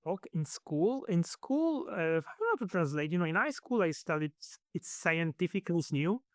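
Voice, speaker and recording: Italian man, fairly high, smooth voice. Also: very animated, moderate pace, no room sound, studio quality. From Italy. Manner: hesitant, many fillers and restarts. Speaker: guest. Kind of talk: casual interview answers.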